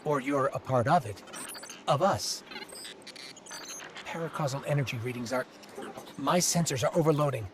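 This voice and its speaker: An actor playing an eager, quick-witted little robot companion in a video game, speaking in an earnest, chatty way. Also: male voice, mid-range voice, background noise, lightly acted. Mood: awed, urgent. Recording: loud music underneath.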